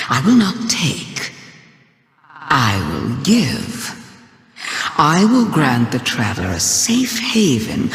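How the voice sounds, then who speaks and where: A woman playing an ancient, cunning alien witch queen in a theatrical video game monologue, sly, deliberate, taunting and grandiose. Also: low voice, a bit bright, full character voice. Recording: echoey voice with long cavernous reverb.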